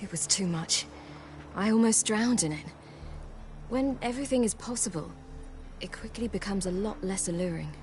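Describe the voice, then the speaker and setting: A woman's voice: mid-range voice, normal speed, darkish tone, lightly acted. An actress playing a free-spirited, self-assured young woman in a video game, speaking frankly and thoughtfully. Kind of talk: video game dialogue acting. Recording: music playing behind the voice.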